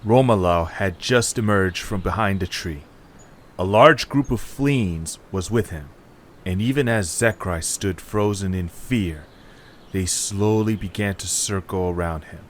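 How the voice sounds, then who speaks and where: A man narrating an audio drama in a measured, steady storytelling manner. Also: low-pitched, slight background noise.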